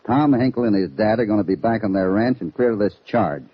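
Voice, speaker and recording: An actor playing a calm, shrewd cowboy hero in an old Western radio drama, speaking with assured authority. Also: male voice, lowish voice, brisk, husky. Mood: confident, calm. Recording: muffled vintage radio recording.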